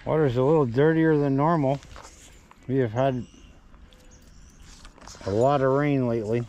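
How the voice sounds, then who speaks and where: A man talks casually through his fishing as a vlogger. His voice is dark and relaxed, sitting at an average pitch. He is recorded outdoors on a body-worn camera. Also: a little slow. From Canada. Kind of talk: casual running commentary while fishing. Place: outdoors at a small pond bank. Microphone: body-worn action camera.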